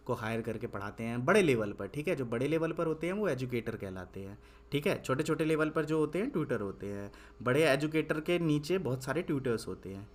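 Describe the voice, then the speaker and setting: A young man giving an English lesson in Hindi. He asks questions and answers them himself, repeating points. When writing he slows to dictate one word at a time. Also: mid-range voice. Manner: repetitive, asks and answers his own questions. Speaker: English tutor.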